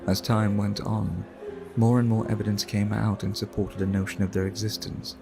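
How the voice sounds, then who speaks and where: Male actor as courtly vampire art collector for ASMR roleplay: creaky lowish voice, formal, measured, flirtatious, music underneath. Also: little pitch movement. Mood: courtly, musing.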